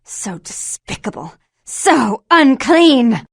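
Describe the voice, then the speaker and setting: A woman playing a prim, controlling, self-righteous vampire in a video game, speaking in a stern, haughty and measured manner. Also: high-pitched, sing-song, creaky, husky, dry recording, studio quality, exaggerated voice. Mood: disgusted. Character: prim, controlling, cold, imperious elder sister, self-righteous vampire baron. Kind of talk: dramatic video game voice acting.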